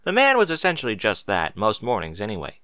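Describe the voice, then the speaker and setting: A man reading a story aloud as narrator, in expressive scripted narration. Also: fairly low pitch, quick pace, no room sound, studio quality.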